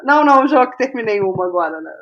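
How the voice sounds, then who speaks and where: High-voiced Brazilian woman, thinking aloud. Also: lively intonation, a bit bright, dull audio. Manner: halting, repeats phrases while thinking aloud. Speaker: guest playwright. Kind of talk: casual interview conversation.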